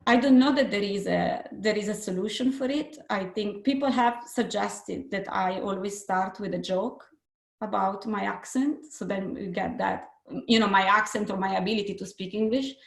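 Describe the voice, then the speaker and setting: Gravelly Italian-accented woman. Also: mid-range voice, echoey room. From Italy. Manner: candid, self-deprecating, halting restarts. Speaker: webinar panelist. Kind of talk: casual panel answer.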